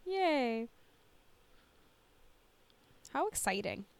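A woman's voice: fairly high pitch, sing-song, very slow, creaky. A woman podcast co-host in casual chat, asking questions and reacting in an informal, exclaiming way.

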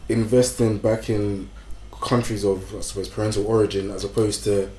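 A man's voice: lowish voice, little pitch movement, moderate pace, warm tone, a little hiss or hum. A young man with Nigerian roots, hosting a podcast in a laid-back, slangy way, with hesitant fillers. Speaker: podcast host. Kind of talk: casual chat. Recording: echoey room with faint music.

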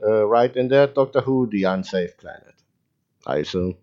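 A man's voice: fairly low pitch, moderate pace. A male podcast host interviewing a guest in a playful, teasing way. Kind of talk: casual chat. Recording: dull lo-fi audio.

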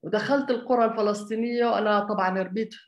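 A woman's voice: mid-range voice, steady tone, rapid-fire. A woman raised in Jordan, an architect giving a conversational public talk, hesitant, with many fillers and restarts. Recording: echoey room.

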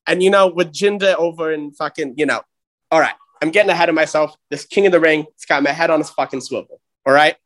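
A man hosting a comedy wrestling show, ranting with heavy profanity and excitement. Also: high voice. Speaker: host.

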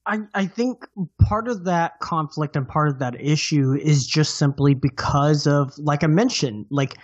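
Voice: mid-range voice, measured pace, dry recording, muffled recording. A podcast panelist speaking hesitantly, stumbling and checking facts with the others. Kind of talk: casual film discussion.

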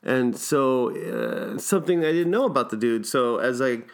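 A man's voice: mid-range voice, animated, normal speed, creaky, clean tone. A man hosting a podcast in a casual, informal, enthusiastic and slangy way. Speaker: podcast host.

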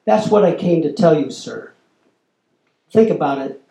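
A man's voice: fairly high pitch, animated, unhurried, creaky, dark tone, reverberant, rough recording. An older man giving a storytelling lecture, acting out the quoted exchanges as he talks. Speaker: lecturer, former corporate lobbyist. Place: lecture hall.

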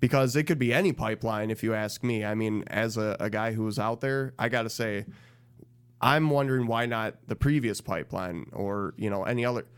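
Male co-host, resonant and smooth, measured explainer. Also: fairly low pitch. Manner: measured, explaining a topic plainly. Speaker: radio co-host. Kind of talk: casual explanatory chat.

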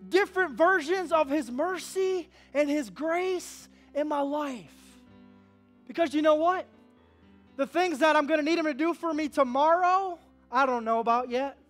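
Man in his thirties, pastor, high voice, lively preacher, faint music under him. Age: 30s. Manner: animated preaching, swinging from hushed to loud; call-and-response with the congregation. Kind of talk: sermon.

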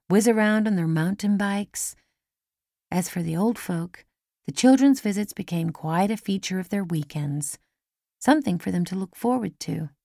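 A woman's voice: medium pitch, gravelly, darkish tone, dry recording, clean studio sound. A woman reading a first-person children's story as an audiobook narrator.

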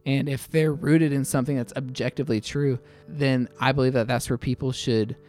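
A man giving thoughtful interview answers, halting often and repeating his words as he goes. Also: medium pitch, fairly even intonation, a little mellow, soft background music. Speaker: interview guest, attorney.

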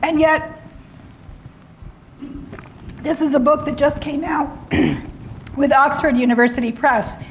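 A middle-aged woman lecturing on academic freedom, slightly hoarse, often clearing her throat and adding wry asides. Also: sits high, steady tone, takes it slowly, long pauses, slight background noise, poor audio.

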